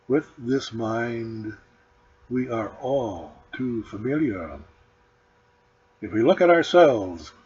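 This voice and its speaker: A man reading his own spiritual book as a scripted audiobook, with solemn, deliberate, measured phrasing and pauses. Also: medium pitch, darkish tone. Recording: dull lo-fi recording with background noise.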